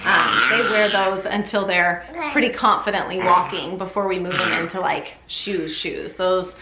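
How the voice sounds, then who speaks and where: A woman's voice: fairly low pitch, fairly even intonation, normal speed. A woman chatting casually to camera as a parenting vlogger, in an informal, chatty way full of "like". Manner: chatty, informal, often says "like". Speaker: parenting vlogger giving a baby update. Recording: echoey room with faint background noise.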